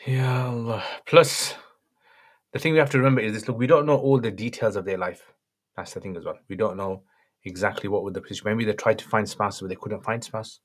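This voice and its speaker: Croaky-voiced man answering questions. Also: on the low side, a little fast. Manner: brief, matter-of-fact answers. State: slightly croaky voice. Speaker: Islamic scholar answering viewer questions. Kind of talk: livestream Q&A, reading out questions.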